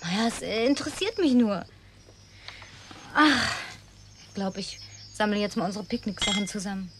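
A woman's voice: medium pitch, animated, normal speed, background noise, lightly acted. An actress playing Linda, a lonely, genteel woman in a German radio drama, wistful, suspicious and easily wounded, speaking formally and expressively. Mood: gentle, reserved. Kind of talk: German radio drama acting, naturalistic dialogue.

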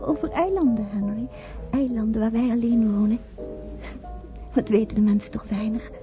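A woman's voice: a little high, normal speed, lightly acted. An actress playing a lovestruck, yearning woman of twenty-seven in a romantic radio play. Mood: dreamy, yearning. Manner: yearning, rapt. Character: dreamy, lovestruck 27-year-old variety dancer. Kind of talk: romantic radio drama acting. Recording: dull old radio recording, music behind. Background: loud music.

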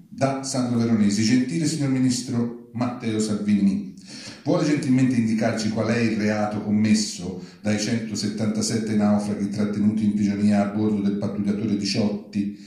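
A man reading his own satirical writing aloud at a live event, with a deadpan, mock-formal politeness. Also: mid-range voice. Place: large reverberant venue at a live reading event. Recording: very echoey live public venue.

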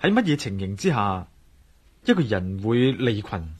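A man's voice: fairly low pitch, big pitch swings, measured pace, slightly rough, full character voice. An actor playing a concerned, persistent man investigating a mystery in a Cantonese radio drama, with a Hong Kong accent. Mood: calm, earnest. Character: concerned, persistent male investigator, earnest and coaxing.

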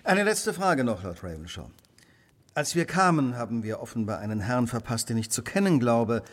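An actor playing a keen, determined Victorian gentleman detective in a radio play, with precise, polite diction. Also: mid-range voice, expressive, normal speed, close to an everyday voice. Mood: politely probing.

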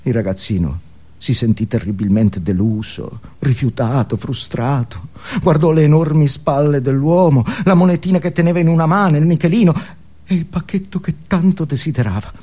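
A male actor playing a guru who tells a parable in deliberate, suspenseful theatrical style. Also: a little high, dark tone, natural voice. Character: manic guru as hushed, folksy male storyteller. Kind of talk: dramatic theatrical storytelling.

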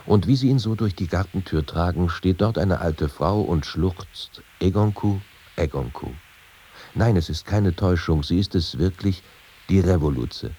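A man reading literary accounts in a deliberate, scripted narrative style. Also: low voice, warm tone, husky. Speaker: reader of quoted accounts.

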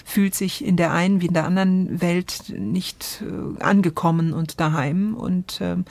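Low-voiced, thoughtful middle-aged woman. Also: steady tone, measured pace, vocal fry, a little mellow, dry recording. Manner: searching for words, thoughtful. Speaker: podcast host discussing radio plays. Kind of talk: reflective conversational discussion.

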